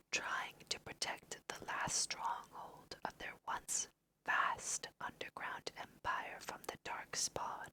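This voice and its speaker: A woman with a slight cold whispering ASMR video game commentary, gently guiding the listener in a breathy, unhurried way. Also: poor audio. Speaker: let's play host guiding through a video game.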